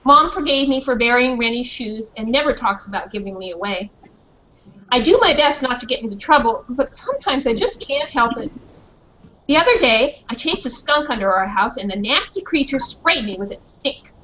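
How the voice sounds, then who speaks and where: Woman doing a proud pit bull telling his story in a school play, high voice, bit dull sounding. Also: animated, normal speed, sharp tone. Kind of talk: scripted storytelling narration. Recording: muffled, noisy school performance recording.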